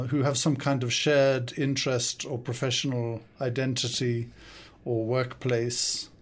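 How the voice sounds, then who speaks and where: An older man with an English accent talks as a podcast guest in a studio. His pitch sits in an ordinary middle range. He answers thoughtfully and pauses often as he gathers his thoughts. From South Africa, raised in the UK. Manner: thoughtful, measured, with frequent hesitations. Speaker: guest, consultant and coach. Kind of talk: podcast interview.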